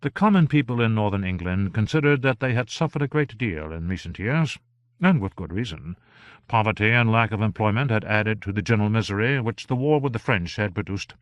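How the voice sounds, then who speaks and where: A man narrating an audiobook in a measured, even reading style. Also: lowish voice, dry recording.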